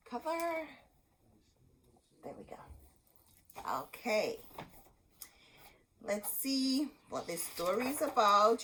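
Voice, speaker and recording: A woman's voice: medium pitch, a little hiss or hum. A woman hosting a children's storytime, reading a picture book aloud expressively and talking directly to young listeners. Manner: animated, deliberate, speaking directly to young listeners.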